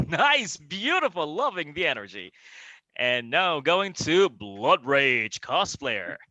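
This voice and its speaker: A man hosting an online contest with energetic, cheering delivery. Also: on the high side, slow pace, no echo, poor audio. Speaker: host. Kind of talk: hyped live-stream hosting.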